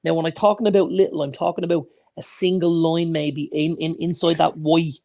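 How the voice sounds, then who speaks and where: Male podcast co-host, higher pitch, enthusiastic, emphatic casual delivery. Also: flat delivery, a little fast, a little mellow. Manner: enthusiastic, emphatic, repeats phrases for stress. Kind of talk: casual chat.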